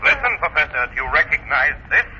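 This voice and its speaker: Cool taunting crime fighter, commanding, slightly high voice, old radio serial, noisy muffled sound. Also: male voice, big pitch swings. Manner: dramatic, commanding, deliberate enunciation. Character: unseen crime-fighting avenger, cool, taunting, resolute man. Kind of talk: old-time radio thriller acting.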